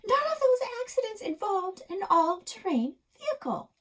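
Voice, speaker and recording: A woman's voice: extremely high pitch, very animated, normal speed, fairly bright tone, voice pushed up, full character voice. A woman voicing a pleading, indignant child in a storybook, insistent and emphatic.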